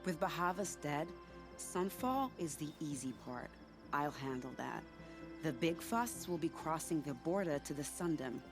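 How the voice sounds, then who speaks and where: A woman's voice: low voice, lively intonation, unhurried, over music. An actress playing a confident, scheming spy in a video game, teasing and theatrical in her delivery. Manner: teasing, silky, dramatic. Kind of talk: video game cutscene dialogue acting.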